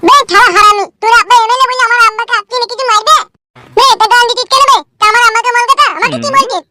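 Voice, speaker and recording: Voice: very high voice, steady tone, racing, very noisy. An actor voicing an angry, foul-mouthed little boy in a cartoon comedy, brash and scolding. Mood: angry, threatening. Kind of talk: Bengali cartoon comedy dialogue. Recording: loud music under the voice. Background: loud music.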